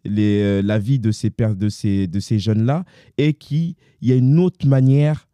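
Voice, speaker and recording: A man's voice: fairly low pitch, steady tone, a little slow, mellow, dry recording. A man hosting a conversational interview, feeling his way through long, reflective questions and readily agreeing. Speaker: interviewer, host.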